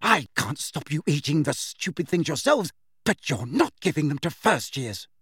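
A man voicing a bossy, righteous teenage schoolgirl with a forceful, scolding manner in an audiobook. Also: on the high side, sing-song, moderate pace, slightly rough, dry recording, clean studio sound, exaggerated voice. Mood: angry, grim.

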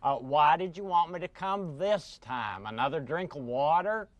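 A man's voice: a little high, expressive, normal speed, muffled recording, close to an everyday voice. A man playing a friendly, inquisitive dog on a children's television show, with exaggerated questioning intonation. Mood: puzzled.

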